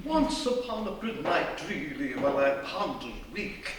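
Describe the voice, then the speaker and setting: An actor playing a gloomy, melodramatic poet reciting comic gothic verse on a stage. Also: male voice, a little high, big pitch swings. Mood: brooding dread. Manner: melodramatic, drawn-out phrasing. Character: gloomy, melodramatic poetic narrator startled by a visitor. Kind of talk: theatrical comic poetry recitation. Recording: roomy live stage recording, distant, faint noise.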